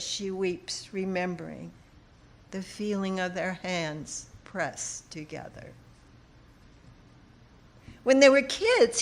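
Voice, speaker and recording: Female essayist reading own literary piece. Medium pitch, unhurried pace, deliberate dramatic phrasing with pauses.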